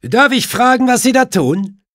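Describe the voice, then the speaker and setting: A man voicing a gruff, blunt cemetery keeper in an audiobook. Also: extremely high pitch, very animated, moderate pace, a bit bright, dry recording, clean studio sound, voice pushed up, heavily acted. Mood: furious. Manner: blustering, blunt. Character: gruff male cemetery keeper, quick to anger.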